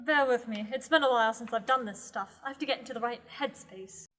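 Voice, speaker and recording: A woman's voice: a little high, quick pace, very noisy, natural voice. A teenage student actor playing a mysterious, theatrical young seer woman in a radio play, airy in delivery. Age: teens. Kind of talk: amateur radio play acting. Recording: echoey room with long reverb.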